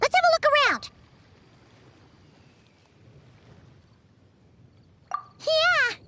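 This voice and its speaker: Tiny squeaky, excitable fairy companion. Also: female voice, a little fast. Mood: surprised, curious. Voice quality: squeaky, childlike. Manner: chirpy, refers to self in third person. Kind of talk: anime game cutscene voice acting. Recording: game audio with clear background noise.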